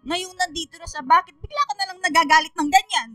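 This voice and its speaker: A woman's voice: extremely high pitch, fast, pushed and bright, dry recording, faint music behind. An actress playing an emotional middle-aged mother, forgiving yet admonishing, in a radio drama, with a Filipino accent. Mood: scolding. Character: middle-aged mother, forgiving of husband, scolding her daughter.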